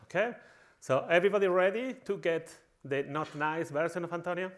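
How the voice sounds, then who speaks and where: Spanish guy w/ accent doing a jokey conference talk, voice a bit on the high side, playing the villain tongue in cheek. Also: brisk. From Spain. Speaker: conference speaker. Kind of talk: humorous conference talk to a live audience.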